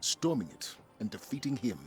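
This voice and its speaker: An actor playing a stern, weary and dignified military commander in a video game, speaking in grave, measured, formal oratory over music. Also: male voice, medium pitch, very animated, natural voice. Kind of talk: dramatic video game cutscene acting. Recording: loud cinematic music behind voice.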